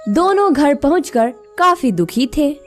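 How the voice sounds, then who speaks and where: A woman's voice: sits high, very animated, a little slow, faint music behind. A woman narrating a scripted moral story as the storyteller.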